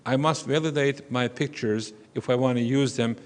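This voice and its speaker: A middle-aged man from Turkey with a Turkish accent, giving a measured, explanatory conference lecture as a surgeon. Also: medium pitch, fairly even intonation. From western Turkey. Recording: long reverb of a conference hall.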